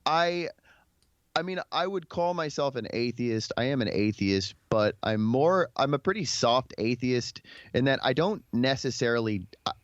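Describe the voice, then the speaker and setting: A man's voice: mid-range voice, expressive, normal speed, dry recording. Male, thirties, from Texas. Conversational podcast guest, informal delivery, dry and lo-fi recording. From Dallas, Texas, United States. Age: 30s. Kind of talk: conversational interview, telling personal history.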